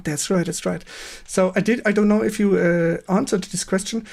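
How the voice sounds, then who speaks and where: A man with a German accent hosting a casual live-stream interview in halting non-native English, searching for words. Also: high voice, steady tone, gravelly, a little mellow.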